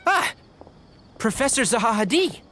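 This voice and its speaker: Casting: courteous young game scholar, earnest, composed, with very varied intonation. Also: male voice, very high-pitched, sing-song, normal speed, pushed and bright, a little hiss or hum, heavily acted. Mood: startled. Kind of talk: video game voice acting.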